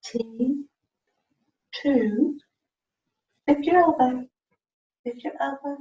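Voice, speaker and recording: A woman with a fairly high voice coaches a learner one to one, taking her time. She repeats words and spells out letters, prompting as she goes. The sound is echoey and distant, as on a video call. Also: drawn-out words, dark tone. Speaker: communication support partner helping a learner spell out words. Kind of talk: one-to-one lesson, coaxing and prompting. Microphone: video call, away from the microphone. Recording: echoey room, muffled distant mic, faint noise.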